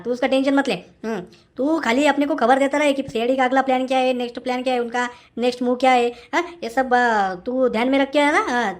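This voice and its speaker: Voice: mid-range voice, lightly acted. An actor playing a cocky, bossy gangster boss in a comic cartoon, drawling and peppering orders with questioning grunts. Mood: smug, commanding. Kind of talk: comic cartoon dialogue in Marathi mixed with street Hindi.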